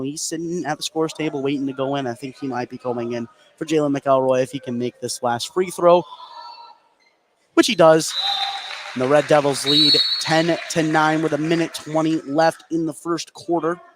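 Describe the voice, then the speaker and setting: A man giving excitable live play-by-play radio commentary on a basketball game, his voice rising on big plays. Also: a little high. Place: live at a high school basketball gym. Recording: clear background noise, gym ambience.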